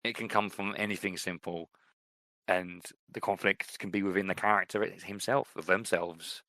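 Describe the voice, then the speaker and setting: A man's voice: sits low, fairly even intonation, moderate pace, bright, forceful tone, no echo. A man co-hosting a podcast in casual chat, opinionated, with many restarts and fillers. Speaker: podcast co-host.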